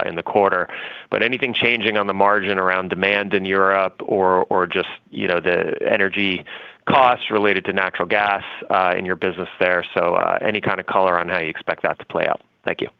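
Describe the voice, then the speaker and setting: A man's voice: sits low, gravelly, clear, resonant tone, no room sound. A man speaking as a financial analyst, putting wordy, hedged, conversational questions on an earnings call. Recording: dry, dull phone-call audio.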